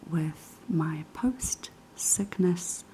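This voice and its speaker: Young NZ woman, raspy post-sickness whisper, slow ASMR delivery, dark mellow tone. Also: fairly low pitch, rough recording. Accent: New Zealand. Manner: soft, unhurried whispering with many ums. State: hoarse after being sick. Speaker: ASMR creator. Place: kitchen of a quiet flat.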